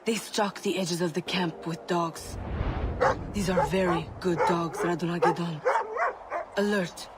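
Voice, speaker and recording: An actor playing an earnest, respectful villager in a video game, explaining things in a formal, careful way. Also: male voice, high voice, fairly even intonation, unhurried, very noisy. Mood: cautioning. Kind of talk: cinematic video game acting.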